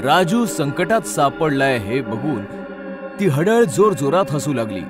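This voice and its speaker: Male narrator for horror tales: dramatic, lively swings in pitch, high register, clear music bed. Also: unhurried. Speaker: storyteller, narrator. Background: music under the voice.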